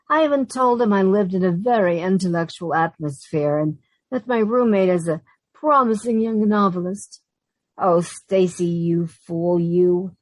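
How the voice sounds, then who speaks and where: A woman's voice: lowish voice, no room sound, rough recording. An actress playing a wisecracking, exasperated but fond working woman in an old-time radio sitcom style, with wry delivery. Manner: wry, sardonic quips.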